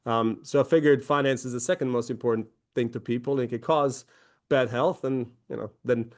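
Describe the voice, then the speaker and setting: A man's voice: mid-range voice, fairly even intonation, brisk. A man in his forties from Croatia, a company founder giving a conversational presentation with many fillers and restarts. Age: 40s. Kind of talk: webinar presentation.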